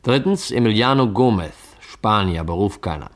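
A man's voice: fairly low pitch, lively intonation, normal speed, close to an everyday voice. An actor playing a dutiful, matter-of-fact police assistant in a 1950s German radio crime drama, reporting methodically to his chief. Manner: methodical, reporting and reading out files.

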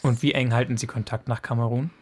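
An interviewer asking brisk, probing questions in a conversational interview. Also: mid-range voice, steady tone, a little mellow, husky.

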